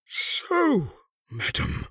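A man voicing a bitter, high-strung old knight in his sixties in a dramatic audiobook reading. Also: extremely slow, bright, forceful tone, no room sound, clean studio sound. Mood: furious, anguished. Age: 60s. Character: furious, vindictive old English knight and wronged husband.

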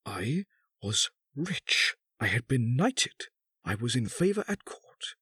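A man voicing a bitter, high-strung old knight in his sixties in a dramatic audiobook reading. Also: very animated, slow pace, sharp tone, slightly rough, dry recording, clean studio sound, exaggerated voice. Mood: bitter, accusing. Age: 60s. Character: furious, vindictive old English knight and wronged husband.

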